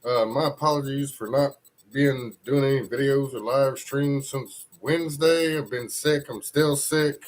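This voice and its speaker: A man's voice: medium pitch, steady tone, a little slow, rough recording. A congested man hosting a casual live news stream, rambling loosely and greeting viewers from the chat. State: congested from a cold.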